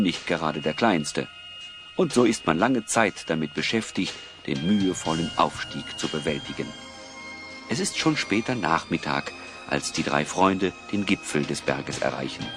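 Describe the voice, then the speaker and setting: A man narrating a German children's audio play as a storyteller, in measured, scripted sentences. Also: low voice, animated. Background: music.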